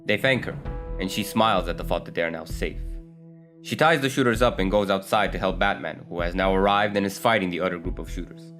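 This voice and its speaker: A man's voice: deep voice, a little fast, fairly bright tone, soft background music. A man telling a scripted story as its narrator.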